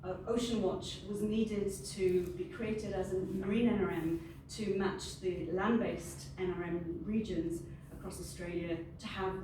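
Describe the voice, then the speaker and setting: A middle-aged Welsh woman whose first language is Welsh, giving a friendly, unscripted talk to a group. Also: medium pitch. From Wales. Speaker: industry panel speaker. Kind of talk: informal presentation to a group. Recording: very echoey room, distant mic, ambient noise.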